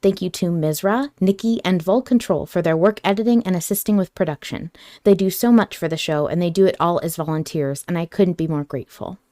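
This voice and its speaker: A woman's voice: on the low side, fairly quick. Casual, joking woman podcast host. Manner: animated, joking, sweary. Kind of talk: casual fan podcast chat.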